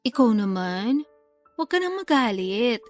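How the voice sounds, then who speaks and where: High-voiced Filipina in a secret affair. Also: female voice, very animated, measured pace, soft background music. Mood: placating. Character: teasing, guilty woman having a secret affair. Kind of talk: Tagalog radio love-story drama acting.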